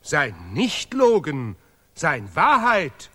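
A man's voice: on the high side, huge pitch swings, bright, forceful tone, breathy edge, full character voice. A man playing a self-important laureate professor in a radio play, declaiming obsessively repeated broken German. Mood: righteous. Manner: declamatory, obsessively repetitive, deliberately ungrammatical.

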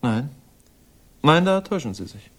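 A man's voice: medium pitch, big pitch swings, normal speed. An actor playing a suave, haughty ladies' man, urbane and condescending, easily irritated, in a 1960s German radio crime drama. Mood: defensive, smooth.

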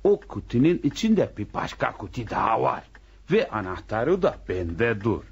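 A pious old village elder in a radio play. His voice is husky and swings widely as he admonishes the family in a folksy, earnest way. The recording is dull and lo-fi. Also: medium pitch, big pitch swings, moderate pace, exaggerated voice. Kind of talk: radio drama acting.

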